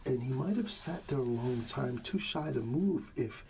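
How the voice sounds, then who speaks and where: A man speaking softly and soothingly as a father at bedtime in an ASMR roleplay, often trailing toward a whisper, with a creaky voice. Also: mid-range voice, expressive, moderate pace, a little hiss or hum. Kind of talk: soft ASMR bedtime storybook reading, talking to a child.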